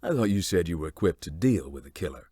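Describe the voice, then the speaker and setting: A man voicing a gruff, dry, unflappable character in a horror video game, with a laconic delivery. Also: deep voice, sing-song, fast talker, mellow, dry recording, heavily acted. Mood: dryly mocking.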